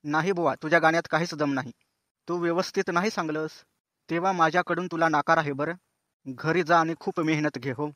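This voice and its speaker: A chatty cartoon show host, played by a man, speaks colloquial banter in a fairly high voice. The sound is very dry, and he teases contestants while addressing viewers like friends. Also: fairly even intonation, a little fast, fairly bright tone, no echo, close to an everyday voice. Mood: matter-of-fact. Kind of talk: comic cartoon show presenting.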